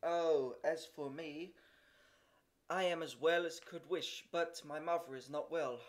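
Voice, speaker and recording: A man voicing a tall, thin, stingy peasant who hesitates and pleads, in a voice raised well above his usual pitch. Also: a little slow, close to an everyday voice. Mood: hesitant.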